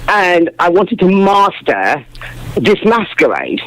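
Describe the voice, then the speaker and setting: A woman jazz singer with a big, deep speaking voice, chatting warmly in an interview and laughing often. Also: very animated, takes it slowly, dry recording, poor audio. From family from Dominica, West Indies. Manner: warm, effusive, laughs often. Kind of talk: casual interview chat.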